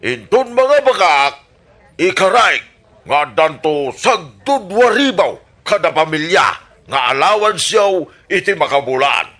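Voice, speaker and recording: An actor playing a scheming, blustering village candidate in an Ilocano radio comedy drama, with an Ilocano Filipino accent and a theatrical, drawn-out delivery. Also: male voice, high voice, very animated, fairly bright tone, full character voice. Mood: grandly persuasive. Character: scheming, blustering male village election candidate, bitter after losing.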